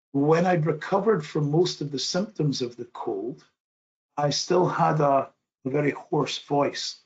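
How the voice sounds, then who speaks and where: Middle-aged man, webinar presenter, modest and measured, mid-pitched voice, slightly muffled call audio. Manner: modest, measured, with frequent restarts. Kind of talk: online presentation.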